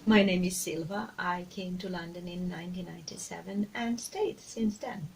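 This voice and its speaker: Woman, soft wistful intro. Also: on the low side, moderate pace, creaky, soft background music, rough recording. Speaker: soprano singer. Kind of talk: self-introduction.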